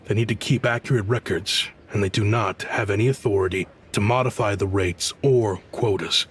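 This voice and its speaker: A man voicing a diplomatic, conciliatory town official in a video game, speaking in measured, soothing speeches. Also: lowish voice, a little hiss or hum, close to an everyday voice. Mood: earnest, explaining.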